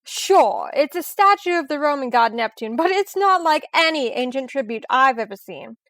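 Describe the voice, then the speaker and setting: High-voiced, sardonic adventuring professor. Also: female voice, expressive, fairly bright tone, no room sound. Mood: confident explaining. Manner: quick sarcastic wisecracks, confident. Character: brisk, sardonic woman professor and treasure hunter. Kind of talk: old-time radio detective drama acting.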